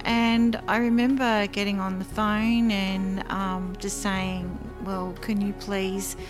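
A woman, a guest in an interview, telling of her past work in an earnest, measured way with frequent ums. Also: medium pitch, level tone, over music. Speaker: former psychic line worker, guest. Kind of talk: interview, personal recollection.

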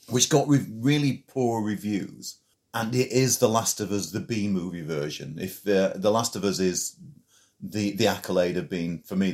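A man hosting a film podcast, chatting in a relaxed way about what he has been playing. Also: low voice. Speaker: podcast host. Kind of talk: casual chat.

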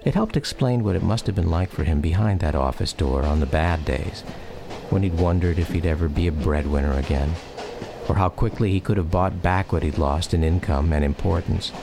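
A man narrating a personal radio drama in a reflective, measured storytelling style. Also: low-pitched, vocal fry, background noise. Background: music.

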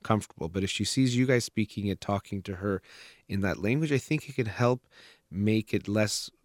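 A low-pitched man in a counseling session talks a parent through their child's shyness. His delivery is calm and measured, with frequent fillers and restarts. The sound is dry and close, with no room echo. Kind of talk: consultation with a parent.

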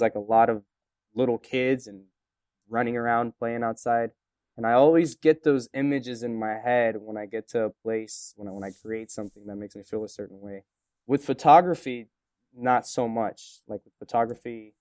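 Romanian-born man, native Romanian speaker, smooth tone, musing casual answers, loose and rambling. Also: mid-range voice, darkish tone, no room sound, studio quality. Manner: reflective, rambling with many fillers. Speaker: interviewee, photographer and musician.

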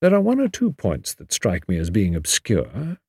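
Male reader as older English lawyer, commanding, dry wit, measured, precise, slightly husky, audiobook dialogue. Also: lowish voice, wildly swooping pitch, warm tone, no echo, heavily acted. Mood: dry, probing.

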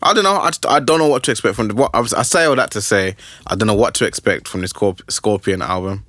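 A young man hosting a podcast, chatting casually about music in a rambling, animated way with lots of restarts. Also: on the low side, quick pace, no echo, studio quality. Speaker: podcast host.

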